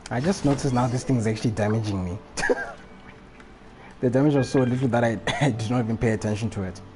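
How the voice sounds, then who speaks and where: A man's voice: medium pitch, quick pace. A man giving casual, think-aloud commentary while playing a video game on a live stream, with mild swearing. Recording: game music behind the voice. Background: loud game music and effects.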